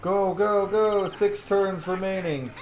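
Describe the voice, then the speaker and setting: A man's voice: sits high, fairly even intonation, slow pace. A man giving gaming commentary and reading out dialogue choices in character as he role-plays. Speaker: gamer playing and commenting. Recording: roomy echo, faint game noise.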